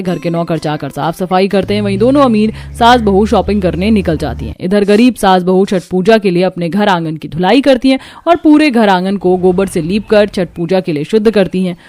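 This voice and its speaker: A woman's voice: medium pitch, darkish tone. A woman reading a scripted story as the narrator of a cartoon.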